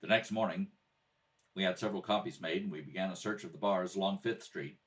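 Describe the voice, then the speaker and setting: A man acts as a businesslike detective from a radio crime drama. The voice is low and clipped, matter-of-fact, recorded slightly away from the microphone. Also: fairly quick, close to an everyday voice. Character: terse, businesslike male police detective narrating his case. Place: at a kitchen table. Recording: slightly distant home microphone.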